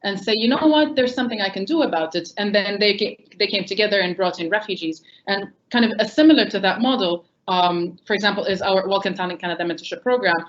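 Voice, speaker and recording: A woman's voice: mid-range voice, quick pace, few pauses, lo-fi sound. A woman whose first language is not English, speaking earnestly on a panel with many ums and self-corrections. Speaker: panelist from a refugee employment nonprofit. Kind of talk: panel discussion remarks.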